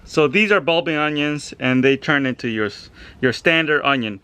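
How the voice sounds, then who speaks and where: A man from El Salvador, in his thirties, talks through a casual garden vlog outdoors. His voice sits a little high. He shifts between explaining his planting and gently coaxing a toddler. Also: moderate pace, background noise. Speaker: home gardener vlogger.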